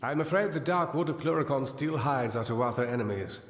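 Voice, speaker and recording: A man's voice: medium pitch, expressive, a little fast, close to an everyday voice. An actor playing a learned, earnest gnome scholar in a fantasy game, precise and formal in his speech. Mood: earnest, cautioning. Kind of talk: scripted fantasy game dialogue. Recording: echoey hall reverb, music behind.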